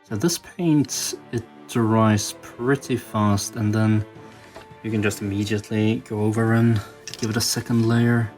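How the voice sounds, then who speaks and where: A man with a fairly low voice talks casually through hands-on work as a tutorial host. His delivery is halting, as if thinking aloud, and music plays behind him. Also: steady tone, a little mellow. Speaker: toy customizing video host.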